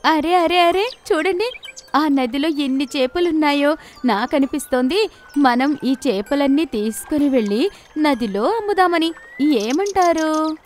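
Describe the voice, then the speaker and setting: A woman voicing an eager, excited fisherman's wife in an animated story. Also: unhurried, soft background music. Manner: shrill, excited.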